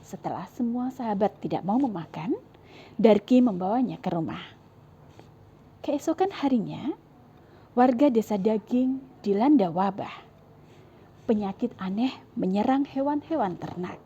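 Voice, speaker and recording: Sing-song female children's storyteller, mellow. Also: mid-range voice, lively intonation, measured pace, pauses often, husky, highs cut off. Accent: Indonesian. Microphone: clip-on lapel mic.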